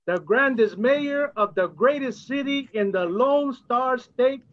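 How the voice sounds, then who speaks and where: A man's voice: very high voice, slow pace. A man hosting a ceremony with florid, formal introductions and remarks, heard through lo-fi audio. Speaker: event host, outgoing poet laureate. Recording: dull, lo-fi audio.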